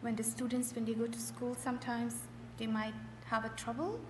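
A middle-aged woman from Afghanistan giving a personal testimony in short phrases, hesitant and often pausing. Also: fairly high pitch, little pitch movement. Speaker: church member giving testimony. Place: large echoey hall. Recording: echoey hall with faint noise.

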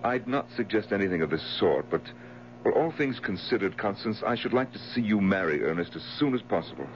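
An actor playing a sensible, courteous family friend in a 1970s radio drama, speaking in formal, reassuring phrases. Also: male voice, on the low side, animated, a little fast, lightly acted. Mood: frank, persuasive. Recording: muffled, noisy 1970s radio broadcast recording.

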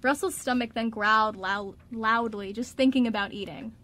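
A woman's voice: a little high, steady tone, moderate pace, bright, forceful tone. A woman narrating a scripted story for a radio drama.

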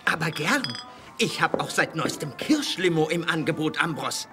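A bright, fairly high-voiced village shopkeeper from a children's radio play. He is friendly and obliging, with lively intonation. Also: moderate pace, faint music behind. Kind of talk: children's audio drama acting.

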